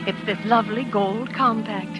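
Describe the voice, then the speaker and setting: An actress playing a jealous, vain, dramatic wife in a theatrical, self-pitying 1940s radio style. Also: female voice, mid-range voice, steady tone, normal speed, lightly acted. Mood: mock gracious. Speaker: lead actress and first-person narrator. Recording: old 1940s radio recording with music.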